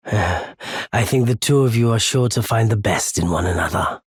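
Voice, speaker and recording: A very husky, lowish male voice for a wandering merchant in a video game. He speaks slowly and politely, with weary understatement and a quietly unforgiving streak. The recording is dry and close. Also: no echo. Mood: wry, friendly. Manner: measured, polite, wry, understated. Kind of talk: video game character dialogue.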